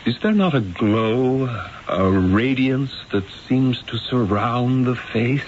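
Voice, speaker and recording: An actor playing a wily, eloquent Renaissance painter in a radio drama, speaking in a theatrical, persuasive, rhetorical way. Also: male voice, mid-range voice, lively intonation, takes it slowly, a little mellow, a little hiss or hum. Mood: smooth, persuasive. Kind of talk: old-time radio drama acting and first-person narration. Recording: dull lo-fi radio recording, music behind.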